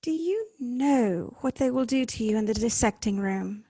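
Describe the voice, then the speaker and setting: A woman's voice: a little high, expressive, moderate pace, warm tone, husky, no room sound. An actress playing an earnest, tender-hearted and naive young lady in an old-time radio drama, questioning and emotive in her delivery. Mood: tender, pleading. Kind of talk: live recreation of 1930s radio drama.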